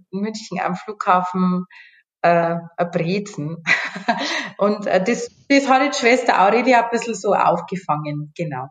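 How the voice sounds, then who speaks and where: A woman, talking as a guest in a casual interview in a chatty, informal way full of side remarks. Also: medium pitch. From Bavaria, Germany. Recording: echoey room.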